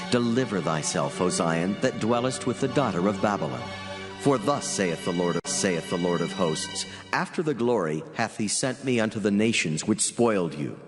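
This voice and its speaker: A man plays a grave angel delivering divine decrees in a dramatized scripture reading. The voice is low and declamatory, full of command, with prominent music underneath. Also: natural voice. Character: solemn commanding male angel proclaiming God's word.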